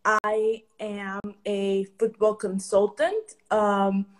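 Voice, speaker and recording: Guest: woman from Ecuador, football consultant; measured, hesitant delivery with restarts. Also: medium pitch, little pitch movement, low-quality recording. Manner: thoughtful, many ums and restarts. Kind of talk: interview answers.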